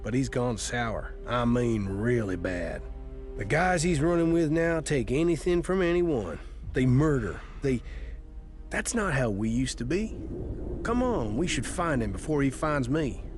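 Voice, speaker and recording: An actor playing a wary former gang outlaw in a video game, rueful, halting and fatalistic. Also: male voice, mid-range voice, very animated, faint music behind. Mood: troubled, wary. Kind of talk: video game character dialogue.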